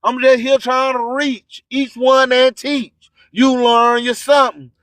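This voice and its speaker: A man's voice: extremely high pitch, flat delivery, unhurried, a bit bright, no room sound. An American man hosting a radio show, preaching spiritual motivation in a declamatory, repetitive, rhyming delivery. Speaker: radio host.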